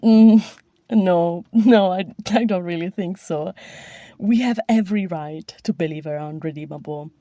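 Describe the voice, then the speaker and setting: Woman sending in a voice message, mellow warm tone, dry recording. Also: on the low side, a little slow, dry recording. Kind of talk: personal recorded opinion message.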